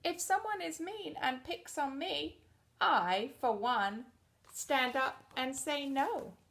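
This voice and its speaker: A woman's voice: high-pitched, slow, creaky, a bit bright, pitched above the performer's own voice, heavily acted. A woman voicing a brave, upright male number One in a children's storybook, speaking firmly and plainly. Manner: firm, plain-spoken.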